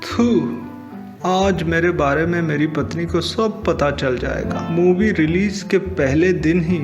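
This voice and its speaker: A flustered village husband played by a man in a cartoon comedy, with a fairly high voice. He frets and complains melodramatically, with music clearly behind him. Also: slow, lo-fi sound. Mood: panicked. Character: anxious, exasperated, unschooled village husband bluffing. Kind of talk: cartoon comedy story dubbing.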